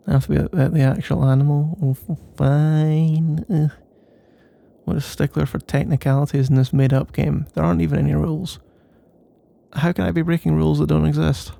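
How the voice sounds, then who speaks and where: A man's voice: a little high, little pitch movement, normal speed, vocal fry, pauses often, mellow. A man doing a casual, rambling ASMR boyfriend roleplay in a playful, mock-boastful and meandering way.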